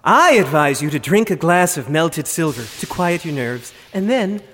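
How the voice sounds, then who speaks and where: A man's voice: a little high, big pitch swings, moderate pace, fairly bright tone. A man playing a servile, patient gnome attendant in an audio drama, deferential and matter-of-fact. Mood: calmly advising. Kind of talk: full-cast audio drama acting. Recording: reverberant hall, faint noise.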